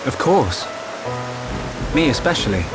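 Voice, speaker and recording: A young man acting an earnest, brooding young hero in a video game, sincere and soft-spoken. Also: medium pitch, sing-song, measured pace. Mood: concerned. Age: young adult. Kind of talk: dramatic video game dialogue. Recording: music and rain behind voice.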